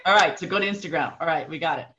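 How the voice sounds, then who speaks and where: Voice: medium pitch, racing, pushed and bright. Interview host, chatty, hesitant, filler-heavy, muffled lo-fi audio. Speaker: podcast host. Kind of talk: podcast interview conversation.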